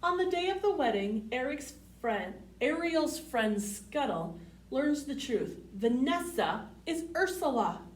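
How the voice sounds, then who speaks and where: A woman hosting a children's storytime, reading picture books aloud expressively and putting simple questions to young listeners. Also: a little high. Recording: roomy, distant mic, faint background noise.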